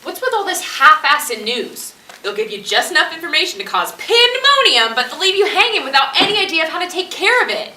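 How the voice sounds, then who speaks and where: Female actor for practical, bossy zombie-play survivor; animated pitch, echoey amateur stage sound. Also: a little fast, exaggerated voice. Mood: fed up. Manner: matter-of-fact, bossy. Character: practical, no-nonsense woman survivor of a zombie outbreak. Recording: echoey, noisy, distant stage recording.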